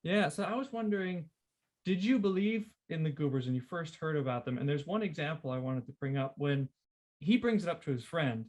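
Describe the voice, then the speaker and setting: Guy podcast host, slightly high voice, chatting books, repeats himself for emphasis. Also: expressive, fairly quick, darkish tone. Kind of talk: casual literary discussion.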